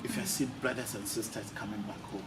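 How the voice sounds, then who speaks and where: A man's voice: lowish voice, very animated, fast talker. A man hosting a casual interview, often repeating phrases for emphasis. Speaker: interviewer, channel host. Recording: noisy, distant mic with ambient sound.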